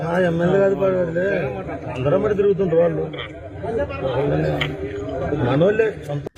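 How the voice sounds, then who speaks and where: Man talking amid a loud crowd. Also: sits high, normal speed, lo-fi sound. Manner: repetitive, colloquial. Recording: loud crowd noise around voice.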